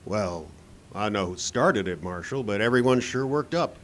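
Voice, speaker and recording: An actor playing a genial, easygoing saloon bartender in a live old time radio western. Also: male voice, on the low side, expressive, moderate pace, close to an everyday voice. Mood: confiding. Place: live show in a park. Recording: live outdoor stage, noisy with clicks.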